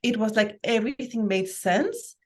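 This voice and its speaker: A woman's voice: a little high, lively intonation, moderate pace. Enthusiastic woman telling her story. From Guatemala. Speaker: guest, family constellations practitioner and life coach. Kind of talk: conversational personal storytelling.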